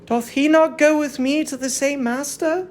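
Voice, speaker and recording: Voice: sits high, fairly even intonation, a little hiss or hum, pitched above the performer's own voice, lightly acted. A performer playing a grieving captive young noblewoman and mother in a declamatory dramatic reading of Greek tragedy. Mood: anxious, pleading. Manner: slow, declamatory, mournful.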